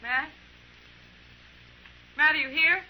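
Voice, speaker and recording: A woman's voice: high voice, slow, bright, forceful tone, heavily acted, rough recording. An actress playing a desperate, pleading frontier woman, urgent and emotional, in a 1950s radio western. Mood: insistent, pleading. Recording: old 1950s radio broadcast.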